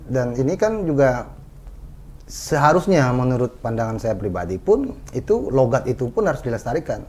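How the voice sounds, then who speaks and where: A man's voice: mid-range voice, big pitch swings, moderate pace, a little mellow. A man hosting a talk show, talking conversationally and often agreeing with his guest. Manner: conversational, frequent backchannel agreement.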